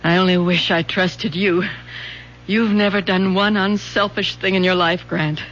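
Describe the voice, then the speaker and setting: A woman's voice: lowish voice, steady tone, measured pace, fairly bright tone. An actress playing a hurt, bitter middle-aged mother in a radio drama, emotional and fragile in delivery. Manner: pleading, emotional. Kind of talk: 1970s radio drama acting. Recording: dull, humming radio drama recording.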